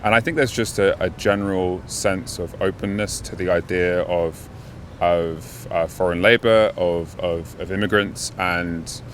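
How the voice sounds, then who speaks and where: A man from the United Kingdom, interviewed outdoors, answering in a measured way with frequent pauses and restarts. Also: sits low, fairly even intonation, slight background noise. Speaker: interviewee, expat. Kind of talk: thoughtful casual answers. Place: outdoors on a city street.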